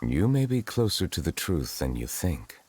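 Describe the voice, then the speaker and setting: An actor playing a dignified dragon lord in human guise for a video game, speaking in a formal, measured, reserved way. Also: male voice, deep voice, very animated, darkish tone, slight background noise. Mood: courteous, calm. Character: dignified male water dragon sovereign in human guise, solemn. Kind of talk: English video game voice acting. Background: game music.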